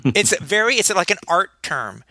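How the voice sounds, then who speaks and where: Male sports podcast co-host, bright mid-range voice, halting casual chat, dry sound. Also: sits high, very animated, dry recording. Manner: halting, often repeats words.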